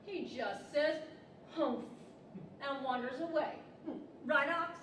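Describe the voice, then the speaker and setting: A woman's voice: sits high, slow, a bit bright. A woman playing a put-upon, complaining horse with a whiny, exaggerated delivery in a radio theater style. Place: large library event room, live stage. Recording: very echoey, distant live hall, faint noise.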